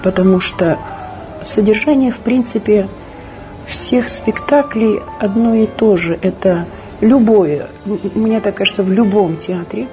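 A woman speaking reflectively, as in an interview, in earnest, deliberate short phrases. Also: medium pitch, mellow, faint music behind, low-quality recording. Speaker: theatre practitioner.

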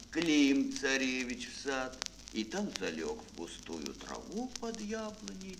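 Theatrical male storyteller, wildly swooping pitch. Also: noisy background. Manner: dramatic, drawn-out, expressive delivery. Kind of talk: theatrical fairy-tale narration for children. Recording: music behind, old mono record. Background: music.

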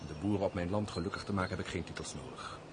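Lovesick aristocratic major, male actor, fervent and formal, low voice, period radio play with faint hiss. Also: very fast, natural voice. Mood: fervent, defiant. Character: ardent, lovesick aristocratic major, earnest and impulsive. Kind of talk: Dutch radio play, period stage dialogue.

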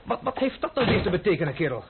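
Hot-headed young gentleman, animated, impulsive, vintage radio play, narrow band, faint hiss. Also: high voice, quick pace. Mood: dry, impatient. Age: young adult. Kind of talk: old-fashioned Dutch radio drama acting. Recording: muffled, faintly noisy old radio recording.

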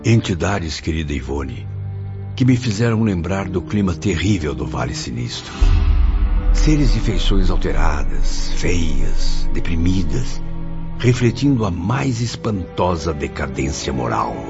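A compassionate, troubled male spirit in a radio drama, speaking very low with wide dramatic swings. His delivery is emotional and grave. The sound is dull, with music underneath. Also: big pitch swings, measured pace, vocal fry, heavily acted. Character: male suicide spirit recounting and observing, compassionate, horrified.

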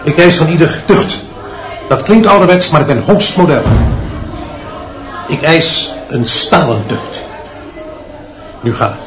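An actor playing an authoritarian, unbending headmaster in a radio play, speaking in measured, pompous pronouncements. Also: male voice, a little high, animated. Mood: commanding. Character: authoritarian, unbending school headmaster obsessed with discipline. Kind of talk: Dutch radio play acting.

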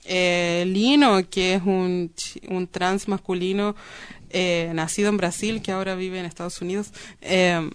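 A woman's voice: on the low side, steady tone, a little slow, smooth. A woman speaking as a guest in a casual interview, informal and colloquial, often repeating words for emphasis.

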